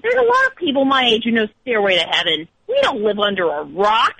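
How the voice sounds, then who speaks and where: High-voiced young woman calling in. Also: huge pitch swings, a little fast, sharp tone, slightly rough, no echo, rough recording. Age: young adult. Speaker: caller. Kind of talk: casual chat and reading comments aloud.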